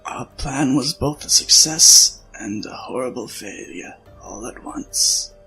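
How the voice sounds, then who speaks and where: Man acting a creaky, sharp-voiced old scientist. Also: medium pitch, heavily acted, poor audio. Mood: wistful, grave. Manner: measured, explanatory, deliberate. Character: old, worn male scientist, weary, reflective friend. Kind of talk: science fiction audio drama acting. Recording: music under the voice.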